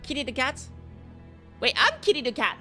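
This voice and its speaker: A woman's voice: high voice, big pitch swings, normal speed, bright, forceful tone, faint music behind. A young woman streaming a game, reading the subtitles aloud with playful chatter. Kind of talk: casual gameplay commentary.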